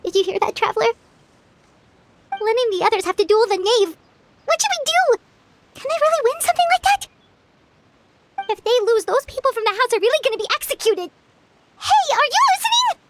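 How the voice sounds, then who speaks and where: Very high, bouncy game mascot fairy, chatty and eager. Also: female voice, very animated, fairly quick, pauses often, fairly bright tone, slight background noise, full character voice. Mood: worried. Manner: eager, nosy, chattering questions. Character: tiny chatty floating fairy companion, excitable.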